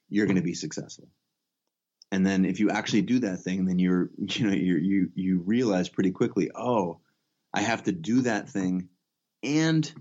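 A man's voice: low voice, darkish tone, highs cut off. A man explaining his ideas in a conversational interview, emphatic and blunt in the way he talks. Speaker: interview guest, photographer and author.

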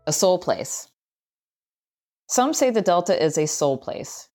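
A woman's voice: on the low side, very animated, slow, mellow, soft background music. A woman with a Southern US accent reading a scripted travel essay as narration. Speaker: writer, tour guide and historian reading own essay.

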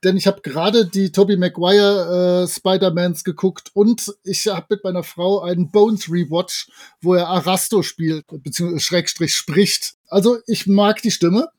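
A man's voice: sits high, steady tone, unhurried, darkish tone. A man co-hosting a podcast, casually reviewing an audio drama in a colloquial, wry way. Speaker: podcast co-host.

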